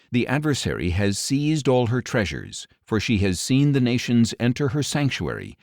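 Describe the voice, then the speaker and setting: A man reading scripture as an audiobook narrator in a solemn, grave, measured delivery with deliberate pauses. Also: on the low side, a little mellow, no room sound, clean studio sound.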